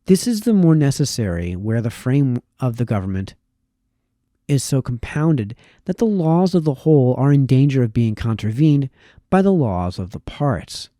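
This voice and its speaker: A man reading a formal historical text aloud in a measured way, now and then stumbling over a word. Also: mid-range voice, sing-song, mellow, no echo, studio quality. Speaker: reader.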